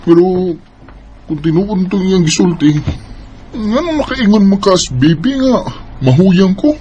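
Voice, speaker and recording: A man's voice: high voice, animated, a little slow, highs cut off. Man acting a startled young boyfriend, noisy recording. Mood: indignant. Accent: Filipino, Visayan. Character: devoted young boyfriend, easily startled and indignant. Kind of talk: radio drama acting.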